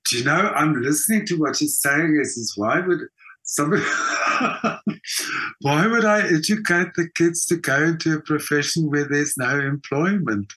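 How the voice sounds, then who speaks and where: A man's voice: fairly high pitch, normal speed, bright, forceful tone. A man with a South African accent giving an opinionated, emphatic answer in a conversational interview. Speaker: interview guest, theater actor and singer.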